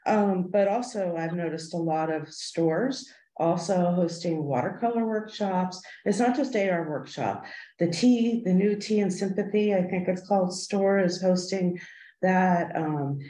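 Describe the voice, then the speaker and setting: Mellow low-voiced woman in an echoey room. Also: unhurried. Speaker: arts commission member. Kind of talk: casual meeting comments. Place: indoor meeting room.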